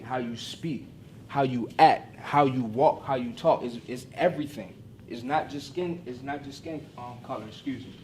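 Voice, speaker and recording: A man's voice: mid-range voice, a little mellow, slight background noise. Earnest young man speaking from a distance. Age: 20s. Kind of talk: speaking to a university group.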